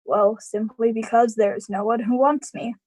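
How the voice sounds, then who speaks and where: A woman's voice: on the high side, fairly even intonation, darkish tone, slightly rough, low-quality recording. A woman playing a lonely, sad uncle in a live radio drama, hesitant and evasive. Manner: hesitant, halting.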